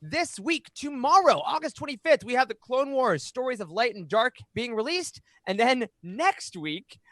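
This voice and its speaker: Man, thirties, high-pitched, podcast host, animated delivery, close mic, dry sound. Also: no room sound. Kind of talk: reading aloud and hosting a fan podcast. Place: small home room, indoors. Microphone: close studio microphone on boom arm.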